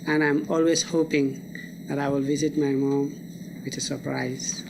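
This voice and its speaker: A man's voice: medium pitch, flat delivery, normal speed. A young man who speaks English as a second language, sharing personal reflections in plain short sentences at a live event. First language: a language other than English. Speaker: student poetry reader. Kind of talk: unscripted personal reflection to an audience. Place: live college lecture event. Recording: clearly noisy live event recording.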